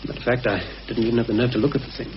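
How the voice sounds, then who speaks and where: British radio-play conspirator, played by a male actor: grave, careful, hesitant, medium-pitched, on a hissy old recording. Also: flat delivery, very fast, dull audio, lightly acted. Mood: grave, uneasy. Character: anxious, cautious male conspirator confiding a grave suspicion.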